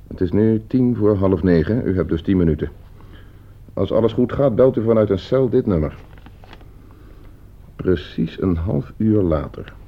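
Cold polite schemer, husky low voice, precise phrasing, radio play, slightly dull recording. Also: male voice, expressive. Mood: coolly instructing. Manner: measured, precise, instructing, polite. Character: cool, scheming nephew hiring a killer for inheritance. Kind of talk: radio drama acting.

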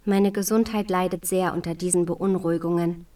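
A woman's voice: lowish voice, steady tone, moderate pace, mellow, far from the mic, lightly acted. An actress playing a proud, resolute early 19th-century queen reading her letters to her husband in a formal, imploring way. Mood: pleading, resolute. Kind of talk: dramatized letter reading in a radio play.

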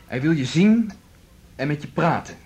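Animated, insistent male go-between. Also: a little high, moderate pace, highs cut off. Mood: evasive, insistent. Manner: urgent, coaxing, hesitant. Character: insistent male go-between, coaxing a reluctant woman. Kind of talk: radio drama acting. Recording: faintly noisy radio play recording.

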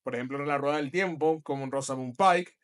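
A man co-hosting a podcast in casual chat, jokey and quick with a quip. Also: mid-range voice. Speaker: podcast co-host.

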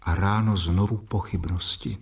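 An actor playing a troubled doctor who assists a professor, narrating in a radio drama. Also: male voice, sits low, fairly even intonation, vocal fry, far from the mic. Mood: agitated, brooding.

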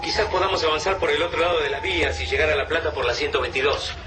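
An actor with an Argentine Rioplatense accent playing a stern, cautious militia major in a radio drama. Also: male voice, a little high, fairly even intonation, fast, far from the mic, noisy background, natural voice. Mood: decisive. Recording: off-mic radio drama mix with music.

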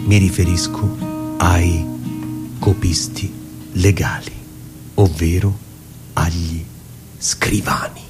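A man playing a prudent, unambitious elderly lawyer in a formal, deliberate literary monologue with pauses. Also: sits low, fairly even intonation, voice pushed down. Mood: measured, grave. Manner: measured, deliberate, pausing, formal. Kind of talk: literary dramatic monologue reading over music. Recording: radio reading with loud guitar music. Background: plucked guitar music.

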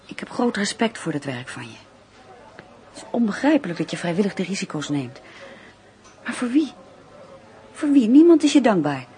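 A woman's voice: mid-range voice, sing-song, normal speed, pauses often, warm tone, lightly acted. A woman acting in a radio play as a loyal, troubled confidante, speaking in an earnest, hesitant way. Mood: earnest, concerned.

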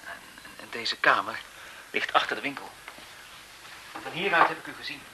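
An actor playing a shy, smitten young photographer in a 1970s radio drama, courteous, hesitant and deferential. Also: male voice, medium pitch, moderate pace, close to an everyday voice. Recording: faintly noisy 1970s radio recording.

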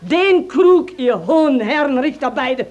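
High-voiced, indignant village matron. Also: female voice, expressive, measured pace, darkish tone, highs cut off. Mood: indignant, proud. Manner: emphatic, declamatory, dramatic storytelling. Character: indignant, garrulous village mother, proud and accusing. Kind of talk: classic stage-style radio drama acting.

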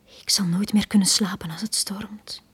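An actress playing a lonely, pleading young woman, frightened yet proud, with a breathy, husky voice and a fragile intensity in a radio drama. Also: medium pitch, steady tone, normal speed. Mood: wistful. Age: young adult.

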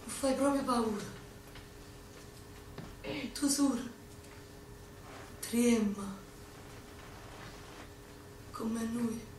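A woman's voice: a little high, a little hiss or hum. An actress playing a young Neapolitan woman partisan in a stage monologue, with a Neapolitan accent and an impassioned, declaiming delivery. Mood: hushed, tender. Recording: very echoey stage from far off.

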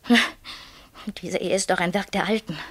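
An actress in a German radio play playing a desperate, bitter young wife trapped in a loveless marriage, intense, pleading and reproachful. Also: female voice, on the low side, fairly even intonation, normal speed, breathy edge.

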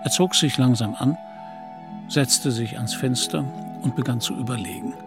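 A man narrating a literary radio play as a composed storyteller, measured and articulate. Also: mid-range voice, over music, natural voice. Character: composed male storyteller of a Parisian novel.

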